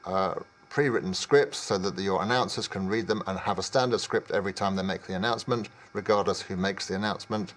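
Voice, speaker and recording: A man in his fifties presenting product training in a measured, explanatory way with some hesitation. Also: lowish voice. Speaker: technical trainer.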